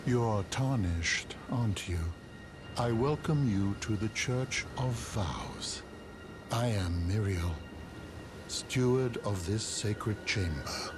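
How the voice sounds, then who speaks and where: Old turtle cleric, fantasy game, lowish pitch, unhurried, courteous, scholarly, gently formal, music behind. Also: male voice. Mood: courteous welcome. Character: aged, gentle, courteous male turtle cleric, wise steward. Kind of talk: theatrical fantasy game acting. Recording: clear music under the voice.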